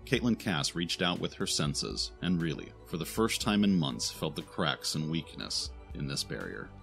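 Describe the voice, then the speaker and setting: A man's voice: rumbling low, very animated, normal speed, gravelly, a bit bright. A man reading scripted narration for a fantasy audio drama. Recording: music behind the narration.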